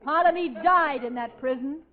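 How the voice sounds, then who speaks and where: Vengeful, lovesick dame played by a woman, high voice, hammy 1940s radio melodrama, dull noisy recording. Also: moderate pace, close to an everyday voice. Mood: lovesick, dramatic. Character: vengeful, lovesick woman ex-convict in detective spoof. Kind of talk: 1940s radio comedy acting.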